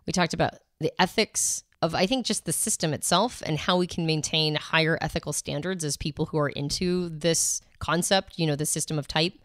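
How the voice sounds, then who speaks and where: A woman with a lower voice co-hosts a podcast, talkative and reflective in a laid-back way. She sounds tired, her voice a little worn. The recording is dry and close. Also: no echo. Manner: chatty, reflective, chill. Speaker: podcast co-host. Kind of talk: casual podcast chat.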